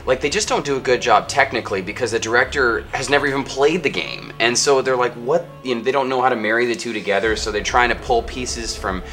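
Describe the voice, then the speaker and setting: A man co-hosting a video game show, giving casual countdown commentary in an animated, explanatory way. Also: mid-range voice, fast talker, few pauses, faint music behind.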